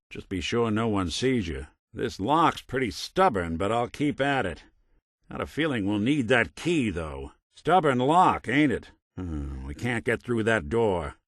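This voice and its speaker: World-weary American robot gumshoe for a noir game: lowish, very expressive, dry wit, kind underneath. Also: male voice, no room sound, heavily acted. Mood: casual, wry. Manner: wry, folksy old-time gumshoe delivery. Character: weary, wry synth private detective, kind but hard-boiled. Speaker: video game voice actor. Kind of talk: noir detective game dialogue.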